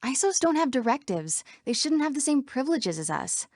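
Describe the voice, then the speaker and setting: Earnest, high-voiced female program. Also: very animated, a little fast, no room sound, clean studio sound, full character voice. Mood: measured. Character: female computer program citizen of a digital world, earnest, uneasy. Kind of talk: video game voice lines.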